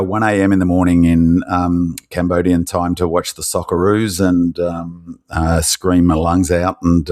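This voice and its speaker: A hoarse Australian man chatting casually on a sports podcast in a rambling, halting way, his voice croaky from yelling. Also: low voice, no room sound, studio quality. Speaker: podcast co-host. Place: hotel room.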